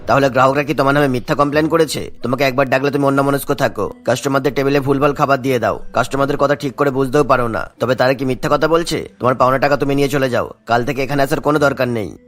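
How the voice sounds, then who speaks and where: A man's voice: mid-range voice, steady tone, racing, hardly any pauses, a bit bright, close to an everyday voice. A man voicing a strict, dismissive restaurant boss in a cartoon story, lecturing in a stern, formal way. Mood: accusing. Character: strict, dismissive male boss of an old eatery.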